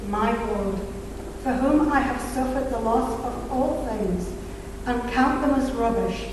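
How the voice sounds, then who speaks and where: Slow woman reading scripture from afar. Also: a little high. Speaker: scripture reader from the congregation. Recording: distant, echoey hall with background noise.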